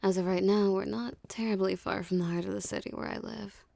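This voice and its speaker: A woman playing a dominant, seductive mafia boss in an ASMR roleplay, with a soft, teasing, condescending drawl and menacing self-assurance. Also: mid-range voice, steady tone, a little fast, gravelly, a little hiss or hum. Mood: casual, confident.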